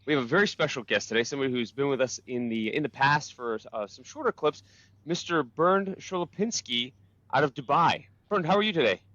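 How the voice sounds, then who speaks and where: A man's voice: mid-range voice, brisk, a bit bright, no echo, muffled recording. A man hosting a live show, asking questions in a friendly, upbeat way. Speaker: host. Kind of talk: live interview.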